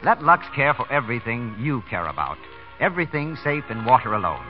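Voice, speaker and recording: An American man announcing and pitching for a sponsor in polished, scripted 1930s radio style. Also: very animated, brisk. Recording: dull vintage radio broadcast recording. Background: music.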